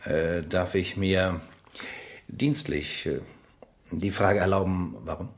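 An actor playing a formal French captain in a radio play, polite and reserved, halting as he puts his questions. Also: deep voice, fairly even intonation. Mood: reserved, uneasy. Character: formal French captain, courteous, hesitant interrogator.

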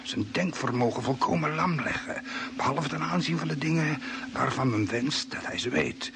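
A man acting a grave, formal stranded space traveller who explains things deliberately in a vintage Dutch radio play, on a noisy old recording. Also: a little high, animated. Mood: grave, explaining. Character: grave stranded Earth man, knowing explainer of Martian mind control.

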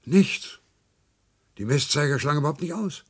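An actor playing a conscientious, prim and insistent citizen of a controlled future society in a German radio play. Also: male voice, a little high, expressive, normal speed, husky, faint music behind. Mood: worried. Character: conscientious, obedient male citizen minding a control device.

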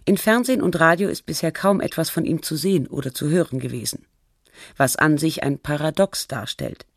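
A sober feature narrator for radio, formal measured reading, really dry studio sound. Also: female voice, sits low, no echo. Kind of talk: scripted radio documentary narration.

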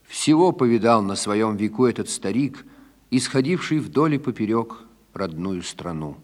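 A man's voice: on the low side, lively intonation, moderate pace, darkish tone, in a large hall. A man narrating a scripted introduction to a recorded theatre performance in a documentary style.

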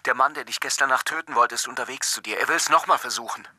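A hard-edged, forceful police lieutenant in a radio drama. He speaks quickly and insistently, pressing his point with command. The recording is close and dry. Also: medium pitch, no echo. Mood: urgent, pleading. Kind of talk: German radio drama acting.

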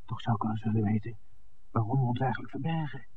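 An actor plays a discreet, inquisitive film man in a vintage radio play. His mid-pitched voice is understated and questioning, heard through a muffled broadcast with faint noise. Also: fast talker, close to an everyday voice. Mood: cautious, curious. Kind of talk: 1960s radio drama acting.

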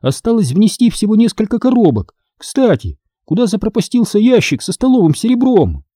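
A man voicing a busy, impatient mother in a storybook, brisk and prone to scolding. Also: sits high, big pitch swings, warm tone, no echo, studio quality, pitched above the performer's own voice. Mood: distracted.

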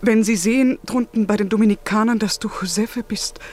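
A woman's voice: mid-range voice, fairly quick, darkish tone, soft background music, lightly acted. An actress playing a caring, cautious woman in a 1950s German radio drama, speaking earnestly and pleadingly. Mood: anxious warning.

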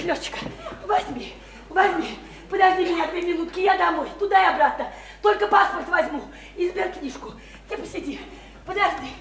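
A very high, overwrought woman's voice in an echoey room. She is a provincial dreamer in a radio play, desperate to get away, gushing and repeating herself with theatrical intensity. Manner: gushing, repetitive, colloquial, hysterically elated. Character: overwrought, pleading provincial woman desperate to escape her town. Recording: roomy, echoing stage-like radio play recording.

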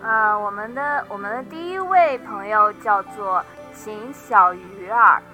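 A woman's voice: mid-range voice, unhurried, pushed and bright. A woman casually announcing prize-draw winners live as an assistant on a stream. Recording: background music.

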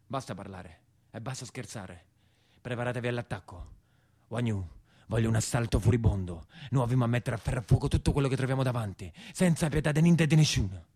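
A man's voice: medium pitch, a little fast, dark tone, breathy edge, pitched below the performer's own voice. A man playing a stern, rousing chief of brigands with a commanding, urgent manner and a southern Italian accent, in a theatrical monologue.

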